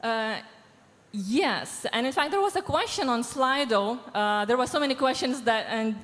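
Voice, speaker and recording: A woman, answering panel questions through a handheld mic, measured and pausing with many fillers. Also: on the high side, expressive, a bit bright. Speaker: panelist from a diversity organization. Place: large event hall stage. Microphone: handheld microphone. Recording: reverberant hall sound.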